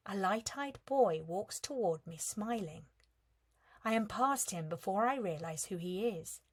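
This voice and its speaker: A woman in her thirties reading a reflective piece of prose in a measured, calm way, softening at the ends of lines. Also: medium pitch. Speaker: reader of a competition entry.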